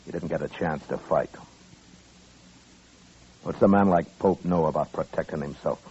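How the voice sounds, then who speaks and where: An actor playing a stern, weary American frontier marshal, blunt and measured, in 1950s radio western style. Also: male voice, rumbling low, dark tone, slightly rough, full character voice. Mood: grim, bitter. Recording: muffled old-time radio recording.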